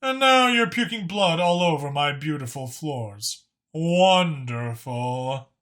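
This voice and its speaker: A man's voice: fairly high pitch, expressive, slow pace, fairly bright tone. A man voicing a proud male dragon spymaster in a science fiction story, speaking in a precise, formal, sardonic manner. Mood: exasperated, dry.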